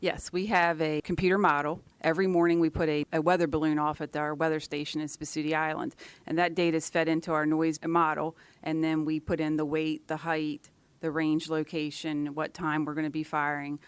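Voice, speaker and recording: A woman's voice: sits low, flat delivery, a little fast, smooth, dry recording. A woman answering interview questions as an environmental scientist, in a matter-of-fact way with short, clipped phrases.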